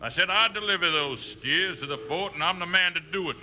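Surly, forceful western cattleman. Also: sits high, brisk. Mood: hostile. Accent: American. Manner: gruff, defiant, sneering. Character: surly, boastful cattle drover, hostile and stubborn. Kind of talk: old-time radio western acting. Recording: old radio recording, faint noise.

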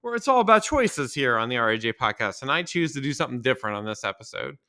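A man in his thirties talks through a loose solo podcast. His voice sounds a little stuffy from a cold. He rambles with crude jokes, and his pitch sits in an ordinary middle range. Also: very animated, fast, pushed and bright, clean tone. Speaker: podcast host. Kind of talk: casual solo podcast monologue.

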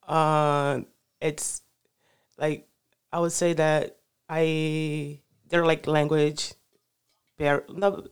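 A man speaks in a smooth, resonant voice. He answers questions slowly and haltingly, filling gaps with "uh" and "like" as he hunts for the right words. His pitch sits a little high and barely moves. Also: level tone. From Brazil. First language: Portuguese. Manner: halting, searching for words with many fillers. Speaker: guest. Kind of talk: casual interview answers.

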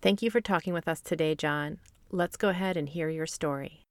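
A woman's voice: low voice, fairly quick, no room sound. A woman hosting a podcast, reading scripted introductions and interview questions.

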